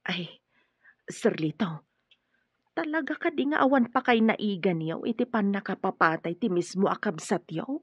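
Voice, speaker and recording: An actress playing a timid but nosy housemaid in a radio drama, hesitant and respectful. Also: female voice, mid-range voice, lively intonation, moderate pace, husky, no echo. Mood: hesitant, curious. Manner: hesitant, deferential. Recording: dull, dry lo-fi audio.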